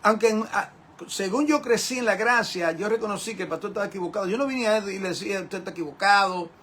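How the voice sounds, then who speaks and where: A man's voice: high-pitched, fast talker, fairly bright tone, slight background noise. An older man teaching a Bible class as a pastor, in an emphatic, repetitive way with rhetorical questions to his listeners. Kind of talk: sermon-like Bible lesson.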